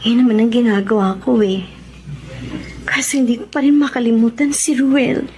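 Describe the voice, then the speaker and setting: A woman's voice: a little high, steady tone, normal speed, darkish tone, natural voice. An actress playing a distressed, conflicted young woman in love, emotional and pleading, in a radio drama. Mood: downcast. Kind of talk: Tagalog radio drama acting. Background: dramatic background music.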